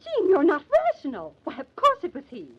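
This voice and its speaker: An actress playing an agitated, meddling housewife in an old-time radio drama, fussy, emphatic and theatrical. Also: female voice, high voice, wildly swooping pitch, fairly quick, slight background noise. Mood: flustered, dismissive. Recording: dull, lo-fi old radio recording.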